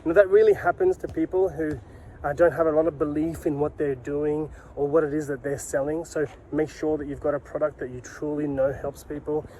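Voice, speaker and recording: Higher-pitched man coaching, mellow tone. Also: level tone, a little fast, a little hiss or hum, low-quality recording. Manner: emphatic, repeats key phrases, rhetorical questions. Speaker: sales coach and video host. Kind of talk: instructional talk to camera.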